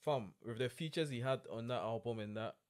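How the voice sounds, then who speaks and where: A man's voice: medium pitch, a little fast. A man chatting casually as a podcast co-host, repeating himself in slang into a studio mic. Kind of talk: casual chat. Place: small indoor podcast studio. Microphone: close studio mic on boom arm.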